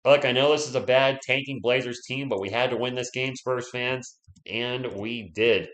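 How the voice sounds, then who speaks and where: A man's voice: medium pitch, fairly even intonation, normal speed, a bit bright, reverberant, poor audio. A man hosting a live fan play-by-play stream, chatty and loose, reading viewer comments and rooting for his team while losing his voice.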